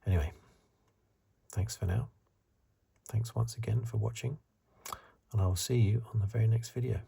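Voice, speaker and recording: A man speaks very softly in a low, strongly breathy voice with a dark, mellow tone, sometimes dropping toward a whisper. He muses haltingly through his opinions as an ASMR commentator, close to the mic. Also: sing-song. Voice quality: soft, breathy, husky, partly whispered. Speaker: host of an ASMR review channel.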